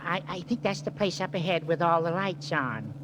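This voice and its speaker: A male actor plays a meek small-time detective in a 1940s radio mystery. He is high-voiced, hesitant and fussily literal, on a slightly noisy old recording. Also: lively intonation, moderate pace, close to an everyday voice. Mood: fussy, hesitant. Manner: hesitant, fussy, precise. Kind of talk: 1940s radio drama acting.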